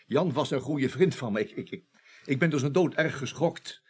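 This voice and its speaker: A male actor plays a cornered security man in a radio crime drama. His voice sits at a middling pitch. He stammers and dodges, always on the defensive. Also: animated, normal speed, a little hiss or hum, natural voice. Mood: hesitant, evasive. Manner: flustered, stammering, evasive.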